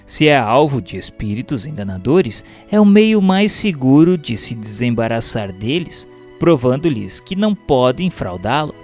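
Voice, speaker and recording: A man with a Brazilian accent giving a formal, measured, didactic scripted reading of a religious text as a narrator. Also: mid-range voice, very animated. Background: background music.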